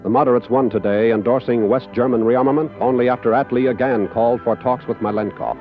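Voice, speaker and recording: A man's voice: medium pitch, fairly even intonation, brisk. An American man reading scripted 1950s newsreel narration as an off-screen narrator. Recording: muffled 1950s broadcast over music. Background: music.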